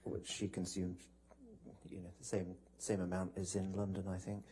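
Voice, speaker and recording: A man giving testimony as a witness in a courtroom, hesitant and measured, with halting answers. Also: sits low, completely flat delivery, mellow. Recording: noisy courtroom broadcast feed.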